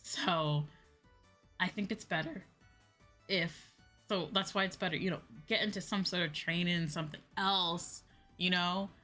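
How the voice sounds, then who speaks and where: Lady vtuber just chatting with her viewers, bright slightly low voice, animated, repeats herself to make a point. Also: unhurried. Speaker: VTuber streamer; high school teacher. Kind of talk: casual livestream chat, replying to viewers. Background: background music.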